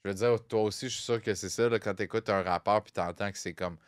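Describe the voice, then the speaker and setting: A man's voice: fairly low pitch, fairly even intonation, fast talker, smooth, dry recording, studio quality. A young man with a Québécois French accent, co-hosting a podcast in casual, rambling talk that is full of fillers and English phrases. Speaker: podcast co-host. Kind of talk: casual chat.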